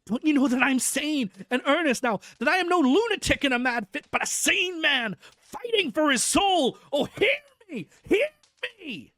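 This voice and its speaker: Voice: high voice, expressive, normal speed, few pauses, pushed and bright, no room sound, voice pushed up. A reader voicing a frantic male asylum inmate, desperately begging a doctor to release him. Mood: frantic pleading. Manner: desperate, begging, voice cracking upward.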